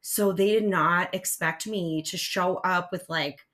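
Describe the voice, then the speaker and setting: A woman in her forties with a raspy voice, co-hosting a podcast in spunky, excitable casual chat. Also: fairly low pitch, a little slow. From United States. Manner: spunky, excitable, lots of fillers. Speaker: podcast co-host.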